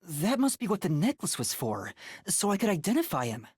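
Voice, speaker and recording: A man's voice: sits high, huge pitch swings, fairly quick, a little mellow, slightly rough, no room sound, clean studio sound, full character voice. A man voicing an earnest, anxious young male trainee detective in an English video game, hesitant and thinking aloud. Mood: earnest, deducing.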